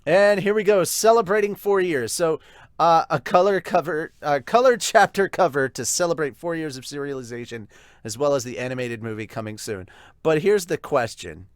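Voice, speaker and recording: A male podcast host chatting excitedly while reviewing and reading through a manga chapter. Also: fairly high pitch, moderate pace, dry recording, clean studio sound. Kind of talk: manga read-along and review.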